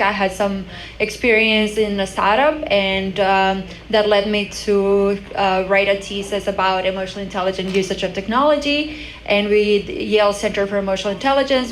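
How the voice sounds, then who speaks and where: A woman's voice: medium pitch, low-quality recording. Young female founder, Croatian accent, mid-range voice, telling her own story at a live panel with slight background noise. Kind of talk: personal story told on a conference panel. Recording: faint background noise, live event microphone.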